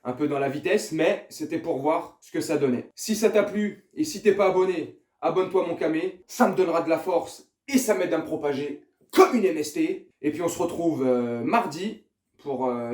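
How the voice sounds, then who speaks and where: A man's voice: fairly high pitch, a little mellow. Chatty young man, roomy sound. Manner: chatty, hesitant with fillers, addresses viewer directly. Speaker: YouTube host. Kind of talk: casual chat to camera.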